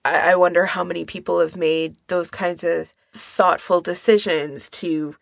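A woman's voice: fairly low pitch, steady tone, a little slow, vocal fry, no echo. A woman co-hosting a podcast in casual discussion, talking tentatively and carefully qualifying what she says. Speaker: podcast co-host.

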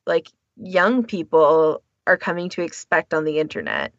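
A woman's voice: sits low, wildly swooping pitch, normal speed, no echo, dull audio. A woman co-hosting a podcast, explaining her thoughts at length in a casual panel discussion. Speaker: podcast co-host.

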